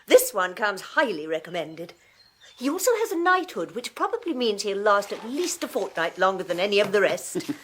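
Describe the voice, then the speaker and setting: An actress with a British accent playing a dry, sardonic secretary in a 1980s radio drama, wry and clipped. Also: female voice, medium pitch, big pitch swings, moderate pace, fairly bright tone.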